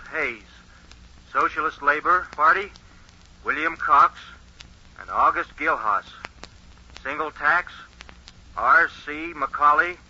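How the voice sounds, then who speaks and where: An actor playing an earnest 1920 radio announcer, formal and carefully enunciated, on an old-time radio recording. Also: male voice, a little high, pushed and bright, dull audio, heavily acted.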